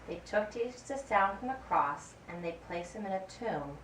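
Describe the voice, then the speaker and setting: A woman reading a scripted Bible story as narrator of a puppet show, in a deliberate, expressive way. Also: medium pitch. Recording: roomy, distant mic, faint background noise.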